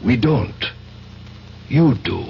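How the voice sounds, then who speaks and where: An actor playing a cool, commanding espionage ringleader in a radio drama, speaking formally and with measured authority. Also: male voice, mid-range voice, lively intonation, creaky, exaggerated voice. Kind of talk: 1970s radio drama acting. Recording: dull old radio recording, faint noise.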